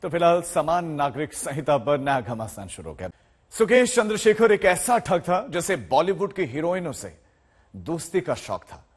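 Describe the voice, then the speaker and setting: A man's voice: on the high side, very animated, normal speed, husky. A man presenting the news in a dramatic style.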